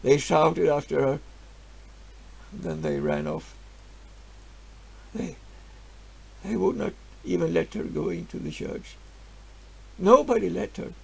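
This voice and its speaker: An actor playing a grieving, stubborn peasant farmer, telling his story in a halting voice that swings from hushed to loud. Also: on the high side, huge pitch swings, gravelly, husky, exaggerated voice. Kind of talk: dramatic storytelling monologue.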